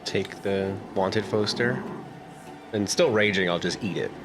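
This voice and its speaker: A man playing a tabletop fantasy game, casually describing his moves in halting phrases as he thinks aloud. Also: sits low. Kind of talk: casual improvised play.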